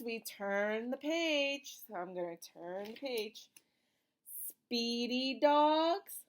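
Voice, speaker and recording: Female children's read-aloud teacher, animated swoopy intonation, unhurried, encouraging question-and-answer delivery. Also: fairly high pitch. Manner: simple, encouraging prompts with questions and slow, emphatic reading. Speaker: teacher reading a picture book to young children.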